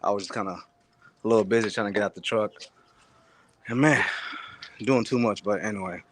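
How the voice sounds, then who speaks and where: A man's voice: on the low side, a little fast. A young man from Sudan calling in to a live show, talking in a laid-back, rambling way. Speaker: caller. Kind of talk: casual chat.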